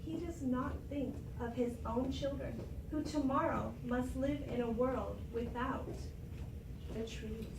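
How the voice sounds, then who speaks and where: A woman voicing a grave anteater who reproaches a woodcutter in measured, admonishing words, in a storybook read-aloud. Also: high-pitched, fairly even intonation, vocal fry. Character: wise unstriped anteater parent, gravely reproachful. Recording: roomy, distant classroom recording with noise.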